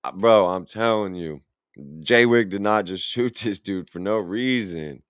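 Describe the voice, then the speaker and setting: A man's voice: fairly low pitch, a little slow, smooth, no echo, studio quality. A young man, reacting to a video in blunt, profane, rambling casual commentary. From Illinois, USA. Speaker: reaction streamer.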